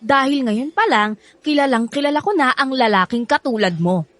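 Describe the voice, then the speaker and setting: A woman's voice: sits high, moderate pace, a bit bright, dry recording. A woman acting a bitter, overprotective older sister in a Filipino radio drama, blunt and scolding, with a theatrical delivery. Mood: indignant, accusing.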